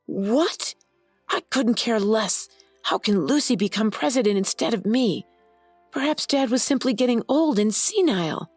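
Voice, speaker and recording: A woman's voice: fairly high pitch, expressive, unhurried, no room sound, faint music behind, voice pushed up. A woman voicing an arrogant, entitled brother who talks in a haughty, demanding way in a narrated story. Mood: outraged.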